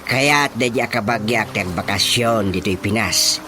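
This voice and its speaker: An actor with a Filipino Ilocano accent playing an excitable, boastful village chairman and father in a radio comedy drama, exclaiming theatrically. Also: lowish voice, measured pace, natural voice. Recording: music behind the voice.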